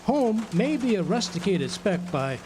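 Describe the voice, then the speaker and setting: Man playing pompous, swooping, high-voiced genial drunk. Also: sing-song, a little slow, background noise. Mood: wry, expounding. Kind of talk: radio drama acting.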